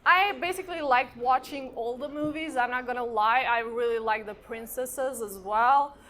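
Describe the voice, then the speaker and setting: A woman's voice: a little high, normal speed, a bit bright. A woman, giving an informal conference talk and speaking conversationally and directly to her audience. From Croatia and Serbia. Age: 30s. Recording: live event with background noise.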